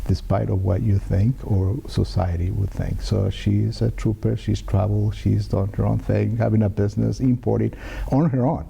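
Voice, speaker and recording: A middle-aged man from Ecuador, with Spanish as his first language, talking as an interview guest in a measured, hesitant way with many fillers. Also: lowish voice, steady tone, creaky, warm tone, breathy edge. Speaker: city community director, guest. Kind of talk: interview conversation.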